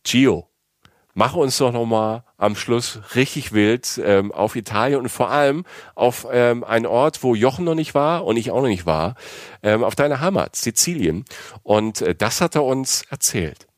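Male podcast co-host, average pitch, informal and chummy, teasing banter, close dry recording. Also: animated, unhurried, dry recording. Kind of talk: casual unscripted travel podcast chat.

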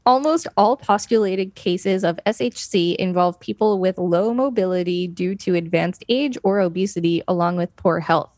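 A woman's voice: on the low side, normal speed, hardly any pauses, a little mellow, no echo. A woman co-hosting a podcast, reading an article aloud and adding casual asides. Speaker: podcast co-host.

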